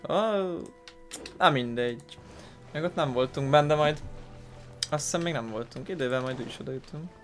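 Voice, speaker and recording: A man's voice: on the high side, normal speed, pauses often. A man with a Hungarian accent giving casual, informal let's-play commentary in muttered asides. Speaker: gaming video host. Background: game music.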